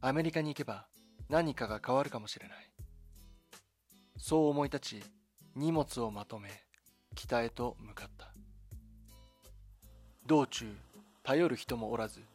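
A man delivering scripted dramatic documentary narration in a measured, solemn storytelling manner, with short deliberate phrases. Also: medium pitch, slightly rough. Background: background music.

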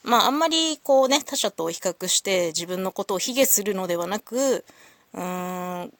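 A woman's voice: mid-range voice, expressive, moderate pace, a bit bright, dry recording. A woman hosting a solo audio show, talking casually to listeners in a polite, reflective, explanatory way.

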